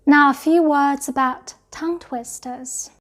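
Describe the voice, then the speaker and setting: Casting: high-pitched woman, non-native English teacher with an acquired British accent, measured teaching delivery. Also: fairly even intonation. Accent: learned British English. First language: not English. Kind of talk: scripted teaching talk to camera.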